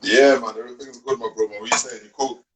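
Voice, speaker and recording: A man from Cameroon, a guest on a video call interview, telling his story in relaxed street slang. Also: mid-range voice, quick pace, slight background noise. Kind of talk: casual interview.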